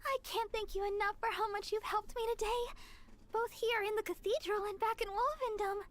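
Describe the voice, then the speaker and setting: A woman's voice: extremely high pitch, steady tone, brisk. A woman playing a sweet, eager, polite young female church idol in an anime video game, speaking courteously and earnestly. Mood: grateful, cheerful. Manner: courteous, earnest, gently bubbly delivery. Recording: game music behind the voice. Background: game music.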